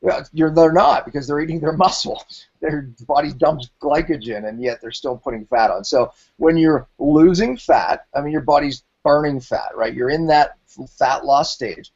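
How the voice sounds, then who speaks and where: A man's voice: fairly high pitch, moderate pace, darkish tone, husky, highs cut off. A man in his forties, hosting a health talk show and explaining things emphatically, with lots of repeated phrases. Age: 40s.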